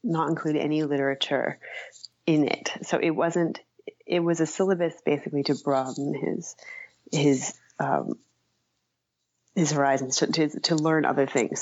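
A woman giving conversational interview answers as an author, thoughtful and halting, often rephrasing mid-sentence. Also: low-pitched, fairly even intonation, darkish tone, no room sound, lo-fi sound.

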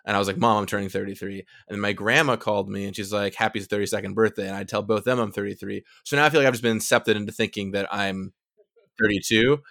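A man's voice: fairly low pitch, steady tone, quick pace, creaky, fairly bright tone, no echo, clean studio sound. A man in his thirties, a podcast guest telling stories in a chatty, joking way. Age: 30s. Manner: chatty, jokey storyteller. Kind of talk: casual chat. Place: Seattle.

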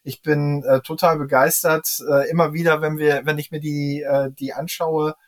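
Guy with slightly higher voice, halting, casual podcast review chat. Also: level tone. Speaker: podcast panelist, genre expert.

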